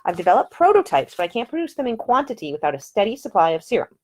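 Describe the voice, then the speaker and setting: A woman voicing a spirited, independent young woman perfumer, talking in a brisk, earnest and self-assured way in audiobook dialogue. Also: mid-range voice, very animated, pitched above the performer's own voice. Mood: earnest, determined.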